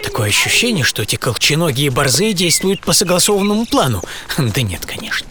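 A man's voice: fairly high pitch, fairly quick, background noise. A man playing a witty, self-assured philosopher in his forties, musing aloud in a first-person radio play with an ironic, theatrical manner. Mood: indignant, pitying. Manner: ironic, theatrical, swinging from musing to excited exclamation. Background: music.

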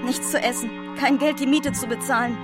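A woman's voice: high voice, fairly even intonation, a little fast, over loud music, close to an everyday voice. An actress playing an earnest, composed young lady in a radio play.

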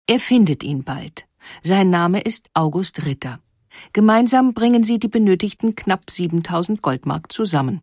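A woman's voice: fairly low pitch, lively intonation, normal speed, darkish tone, breathy edge, no room sound. Female documentary narrator, dry studio sound. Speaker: narrator of a historical audio feature.

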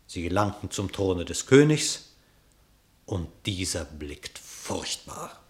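A man serves as narrator of a classical radio drama. His fairly low voice moves with lively, expressive intonation through scripted literary verse. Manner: expressive, measured storytelling.

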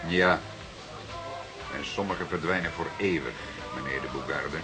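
An actor playing a seasoned, persistent police detective in a radio play, speaking deliberately and with dry formality. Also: male voice, a bass voice, loud music behind the voice. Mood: drily menacing. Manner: deliberate, dryly formal, spells out words. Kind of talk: Dutch radio play acting.

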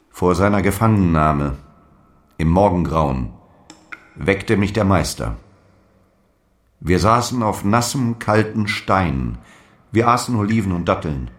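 Very deep, slow, fervent doomed apostle. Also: male voice. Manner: solemn, archaic, incantatory prophetic declamation. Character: fiery apostle dictating zealous letters, defiant before his death. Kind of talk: radio drama monologue.

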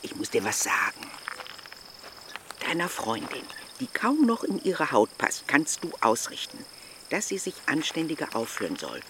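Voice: mid-range voice, huge pitch swings, moderate pace, fairly bright tone. A stern village aunt in a radio drama. She admonishes reproachfully, with strongly varied intonation. A faint bed of outdoor noise sits underneath. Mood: stern, scolding. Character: stern, scolding village aunt warning a girl.

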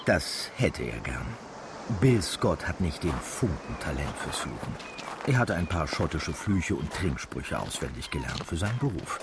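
An actor playing a wry, knowing storyteller who narrates a radio drama in an ironic, conspiratorial way. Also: male voice, very deep voice, very animated, unhurried, vocal fry, very noisy, exaggerated voice. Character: wry, knowing local storyteller addressing the listener. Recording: music behind the voice.

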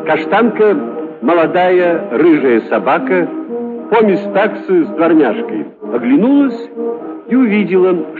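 A man narrating a children's story expressively, as in a scripted audio production. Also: a little high. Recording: muffled narrowband, long reverb, loud music. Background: music.

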